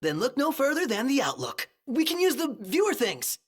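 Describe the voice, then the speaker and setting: An actor playing an excitable, boyish young sidekick in a video game, eager and animated. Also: male voice, very high voice, normal speed, breathy edge, heavily acted. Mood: eager, curious. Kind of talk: English video game voice acting.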